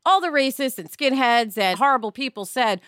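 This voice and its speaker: A middle-aged woman speaks in a fairly high, very bright and forceful voice. She is blunt and emphatic, giving her opinions as a podcast host, close and dry on the recording. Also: lively intonation, a little fast, clean tone, no room sound.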